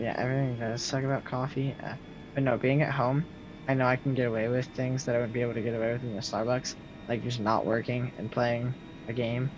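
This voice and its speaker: A young man in his late teens with a loud, projecting voice, rambling casually to his viewers while he games and answers their messages. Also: medium pitch. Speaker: streamer. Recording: slightly muffled, faint game music behind.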